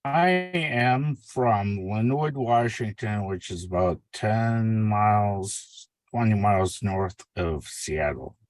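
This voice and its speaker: An older retired man calling in to a dating show, slow and joking. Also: on the low side, dry recording. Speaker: caller. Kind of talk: casual chat.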